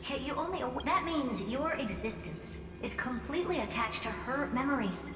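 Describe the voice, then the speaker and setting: With hall echo and loud music around the voice, a confident game genius who takes charge. The tone is frank and conversational, pitched fairly high. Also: female voice, expressive, normal speed. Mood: frank, serious. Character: self-assured, brisk female genius taking charge. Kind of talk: video game dialogue acting.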